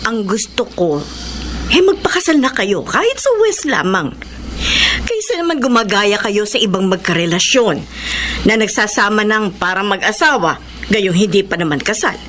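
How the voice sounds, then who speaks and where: A woman's voice: medium pitch, big pitch swings, moderate pace, fairly bright tone. A woman acting a firm, generous older widowed mother in a Filipino radio drama. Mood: firm, insistent. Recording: clearly noisy radio drama recording.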